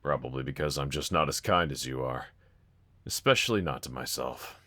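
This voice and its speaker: A man's voice: rumbling low, moderate pace, bright, forceful tone, full character voice. A man playing a brooding young villain roommate in an intimate audio roleplay, confiding hesitantly and wryly to one listener. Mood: guarded, pensive. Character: brooding young male villain roommate, self-loathing but softly grateful.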